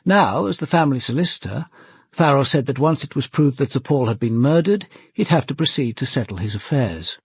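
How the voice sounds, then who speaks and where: An actor playing a composed, observant police commander with an English accent, in measured, formal radio drama narration and questioning. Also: male voice, medium pitch, lively intonation, husky, no echo. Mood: reflective, measured. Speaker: detective narrator.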